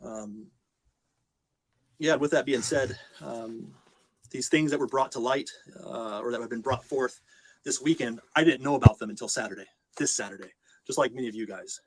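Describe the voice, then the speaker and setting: Guy talking off the cuff to his livestream, polite and a bit hesitant, normal mid pitch. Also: normal speed, husky, dry recording, poor audio. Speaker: live streamer. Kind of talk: casual, unscripted live address to viewers.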